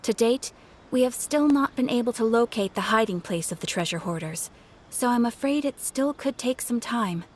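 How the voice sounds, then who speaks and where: A woman's voice: on the high side, expressive, moderate pace, a little hiss or hum, lightly acted. A woman voicing a composed female guild receptionist in a video game, formal and courteous as she explains things. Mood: courteous, apologetic.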